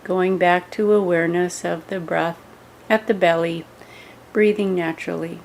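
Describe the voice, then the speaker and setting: A woman giving scripted guided yoga meditation instructions in a measured way, pausing between short phrases. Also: lowish voice, steady tone, vocal fry, darkish tone, slight background noise, low-quality recording.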